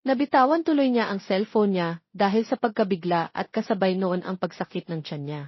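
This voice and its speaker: A woman's voice: medium pitch, brisk, slightly rough, no room sound, lo-fi sound. A woman reading a scripted romance story as narrator, in a close voice-over.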